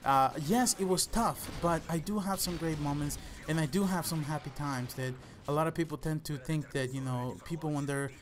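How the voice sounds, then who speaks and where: Higher-voiced young Salvadoran-accented man, noisy recording. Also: normal speed, few pauses. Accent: Salvadoran Spanish. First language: Spanish. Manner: earnest, addresses listeners as "guys". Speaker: gaming channel host. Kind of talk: casual personal storytelling over gameplay commentary.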